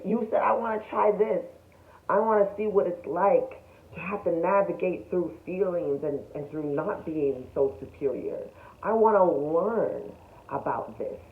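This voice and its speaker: A woman vlogger speaks in an emphatic, conversational way, leaning on pointed questions. The recording is clearly roomy and echoey, with a thin, phone-like tone. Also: fairly low pitch. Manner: emphatic, animated, rhetorical questions, frequent chuckles. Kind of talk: casual spiritual self-development talk to camera.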